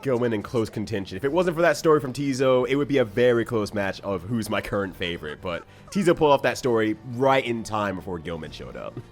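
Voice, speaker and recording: A man's voice: on the low side, lively intonation, quick pace, hardly any pauses, faint music behind. A man co-hosting a podcast with casual, slangy, playfully hyperbolic banter about a video game. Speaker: podcast co-host.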